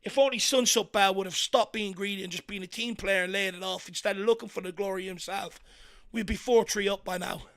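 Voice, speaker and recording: Irish guy yelling at the players on a spurs watchalong, gravelly croaky voice from hours of talking. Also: high voice, fairly quick. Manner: excitable partisan, shouts encouragement at players. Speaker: fan channel host doing live match commentary. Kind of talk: watchalong fan commentary.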